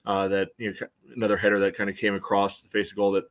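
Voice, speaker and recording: A man with a fairly low voice talks as a sports podcast co-host. He goes over a soccer match casually, qualifying his points in a conversational manner. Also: monotone, fast, a bit bright.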